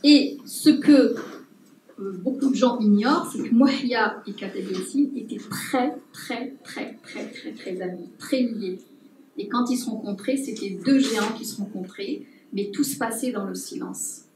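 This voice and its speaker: A woman anthropologist speaking at a public talk, pausing often with fillers and restarts. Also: fairly high pitch, noisy background. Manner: hesitant, many fillers and restarts. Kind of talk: unscripted talk to an audience. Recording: roomy distant mic with faint ambience.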